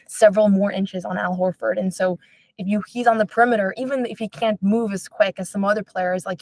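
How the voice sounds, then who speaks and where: A woman's voice: medium pitch, dry recording. A young woman born in Israel, a basketball reporter answering interview questions in a chatty way with many fillers. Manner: fast, chatty, lots of fillers like "um" and "like".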